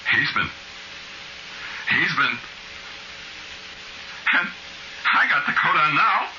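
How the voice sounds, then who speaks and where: A man's voice: a little high, sing-song, slow pace, noisy background. An actor playing a shifty small-time crook, telling his story in colloquial slang as 1940s radio noir. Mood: stunned, horrified. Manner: colloquial, confiding, ungrammatical. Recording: muffled old radio recording with music.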